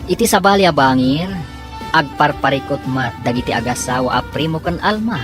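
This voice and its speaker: A woman narrating and announcing a radio drama in an expressive announcer's style. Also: sits low, a little fast. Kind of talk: scripted narration. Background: clear background music.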